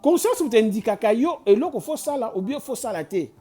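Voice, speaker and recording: Urging Congolese host, mellow voice. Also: medium pitch, animated, brisk, soft background music. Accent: Congolese French. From Kinshasa, Congo. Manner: preachy and urging, with frequent restarts and stammers. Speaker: channel host. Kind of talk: impassioned address mixing Lingala and French.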